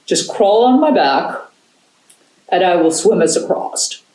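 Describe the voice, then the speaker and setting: A woman voicing a loyal, weary, easily exasperated dog in a storybook, with drawn-out emphatic words. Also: fairly low pitch, animated, dark tone, reverberant, far from the mic, poor audio.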